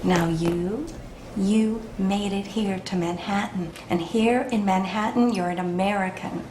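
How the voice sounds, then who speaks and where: An actress playing a pushy, tactless dating counselor who gives glib advice, recorded with a roomy echo. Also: female voice, medium pitch, fairly even intonation, a little slow, darkish tone, a little hiss or hum, close to an everyday voice. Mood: brisk. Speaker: counselor in a session. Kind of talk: film scene acting.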